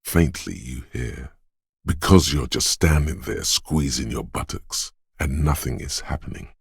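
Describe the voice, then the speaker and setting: A gravelly, grim inner voice of the senses. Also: male voice, very deep voice, dry recording, clean studio sound, full character voice. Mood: brooding. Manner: slow, hushed, lingering on sensory detail. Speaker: voice of a game's inner perception skill. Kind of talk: second-person game narration.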